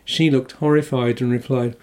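Measured older English man reading an essay. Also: medium pitch, fairly even intonation, a little mellow. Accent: English, with a faint Irish R. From Wales. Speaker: novelist.

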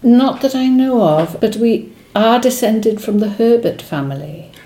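A woman's voice: a little high, lively intonation, a little slow, mellow, rough recording. A woman poet whose first language is Welsh, speaking thoughtfully in an interview, with hesitations and restarts. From Wales. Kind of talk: reflective conversational interview.

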